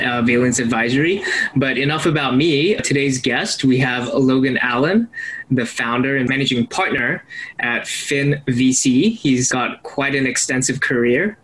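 A man co-hosting a podcast, introducing the guest in an easygoing, slightly halting way. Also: mid-range voice, fairly bright tone, husky, rough recording.

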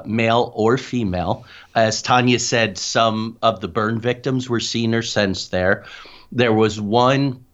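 A man's voice: on the low side, highs cut off. A man speaking as an interview guest, explaining and telling stories in a conversational way. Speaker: interview guest, paranormal researcher.

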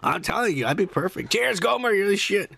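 A raspy-voiced man with a Mexican-American accent, co-hosting a podcast and joking loudly and crudely, with Spanish as his first language. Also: high-pitched, animated, brisk, dry recording. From United States. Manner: loud, profane, jokey, laughs often. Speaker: podcast co-host. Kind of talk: crude casual banter. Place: home, indoors.

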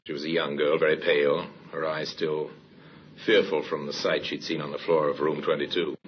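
An actor as the grave narrator of a crime mystery, in vintage radio style. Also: male voice, extremely low pitch, flat delivery, moderate pace, slight background noise. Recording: dull vintage radio recording with music.

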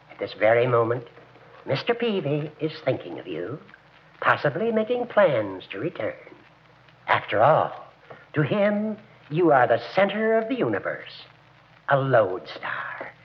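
A flowery, formal gentleman in old radio comedy. His fairly high voice rises and falls as he consoles grandly. The recording sounds muffled and old. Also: big pitch swings, unhurried, slight background noise. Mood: earnest, consoling. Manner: formal, oratorical. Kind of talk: 1940s radio comedy acting.

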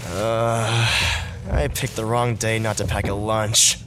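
A man's voice: fairly low pitch, little pitch movement, slow, a bit bright, a little hiss or hum. A man playing a sarcastic, pessimistic wisecracker in an audio drama. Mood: gloomy, exasperated. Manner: sarcastic, quipping.

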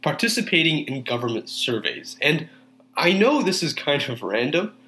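A man's voice: fairly high pitch, very animated, moderate pace, pushed and bright, slight background noise. A young man, Urdu-speaking family background, delivering an earnest monologue that urges listeners to act, with frequent fillers and restarts. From Canada.

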